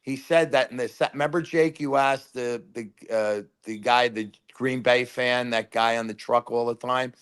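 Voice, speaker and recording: Loud, rambling sports-radio caller. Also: male voice, mid-range voice, normal speed, few pauses, clear, resonant tone. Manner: rambling, animated storyteller. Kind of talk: casual phone-in chat.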